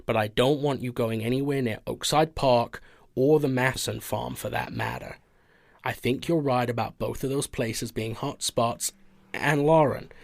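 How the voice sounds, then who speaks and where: A man's voice: mid-range voice, creaky, no echo, studio quality, close to an everyday voice. A man playing a protective, earnest investigations boss in an audio drama, speaking conversationally. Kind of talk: audio fiction acting.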